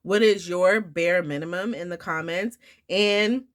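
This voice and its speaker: A woman's voice: lowish voice, measured pace. A woman vlogging casually about homeschooling, a bit nasal as she recovers from the flu, rambling with fillers and restarts. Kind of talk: casual talk to camera.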